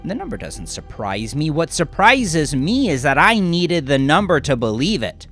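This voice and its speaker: Low gravelly guy narrating dark horror confession, big swings in delivery, halting and intense. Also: big pitch swings, soft background music. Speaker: narrator and author. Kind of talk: dramatic first-person horror story narration.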